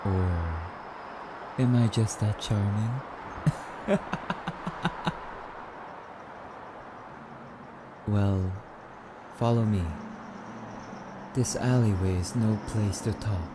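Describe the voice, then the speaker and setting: Man playing a slow, low-voiced suave vampire. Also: music in the background. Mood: teasing, charming. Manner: formal, theatrical, rambling, with drawn-out phrases. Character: suave, theatrical, musing male vampire, immortal and courtly. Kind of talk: ASMR roleplay monologue.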